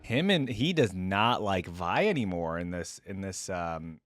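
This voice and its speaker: A man's voice: deep voice, sing-song, a little slow, soft background music. A man hosting a reaction video, giving casual, informal commentary close to the microphone. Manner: chatty, informal.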